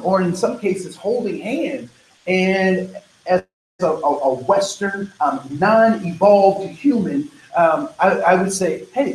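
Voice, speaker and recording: A man leading a diversity training workshop, talking casually and wandering off on tangents, often checking in with "right?". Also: high-pitched. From United States. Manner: casual, digressive, frequent "right?" and "um". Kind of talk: conversational workshop lecture. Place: training room. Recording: echoey training room, faint noise.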